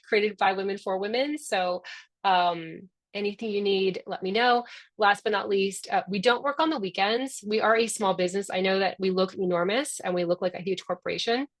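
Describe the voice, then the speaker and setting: A woman's voice: mid-range voice, dry recording. A middle-aged woman whose first language is Spanish, the founder hosting a live online orientation in an upbeat, affectionate, chatty way.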